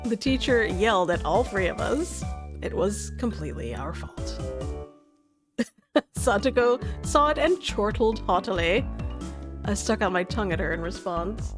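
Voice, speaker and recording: A woman's voice: mid-range voice, lively intonation, unhurried, music in the background. A woman live streaming, reading a story game aloud and chatting in a playful manner.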